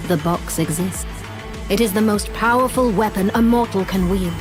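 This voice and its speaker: An actress playing a regal, calm goddess in a video game, speaking in a solemn, formal, instructive way. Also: female voice, mid-range voice, moderate pace, lightly acted. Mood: solemn, guiding. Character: regal, calm goddess woman guiding a warrior. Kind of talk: dramatic video game voice acting. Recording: music behind voice.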